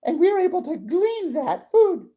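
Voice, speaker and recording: A woman playing a high-voiced fretful older wife. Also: very animated, unhurried, mellow, muffled recording, exaggerated voice. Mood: weary, kindly. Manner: hesitant, sighing, repeating words. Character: Naomi, fretful, kindly older Israelite wife and mother. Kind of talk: children's puppet show dialogue.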